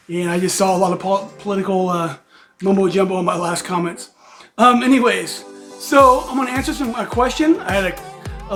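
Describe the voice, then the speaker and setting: A man in his forties, hoarse and raspy from allergies, hosting a casual vlog and rambling with many fillers and restarts. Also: sits high, a bit bright. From United States. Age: 40s. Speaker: reptile channel host.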